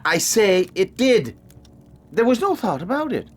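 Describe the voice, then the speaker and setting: A man's voice: sits high, big pitch swings, a little slow, heavily acted. An actor playing a genial, credulous and stubborn old father, chuckling and muttering in an audio drama. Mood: good-natured, insistent. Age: older. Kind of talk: full-cast audio drama acting.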